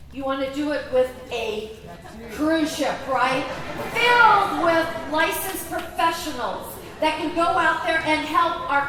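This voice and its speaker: A woman originally from Greece, giving a chatty talk to a convention audience and often asking them questions. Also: high voice. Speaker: convention speaker. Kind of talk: presentation to an audience. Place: large convention hall. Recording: echoey hall, voice from far off.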